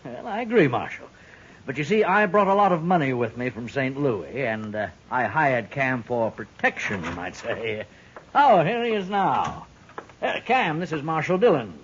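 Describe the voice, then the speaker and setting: An actor playing a smooth, scheming, ingratiating Old West businessman in a 1950s radio western. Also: medium pitch, sing-song, normal speed, fairly bright tone, a little hiss or hum. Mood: slick, evasive. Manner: ingratiating, chuckling, hesitant politeness. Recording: dull, muffled 1950s radio recording.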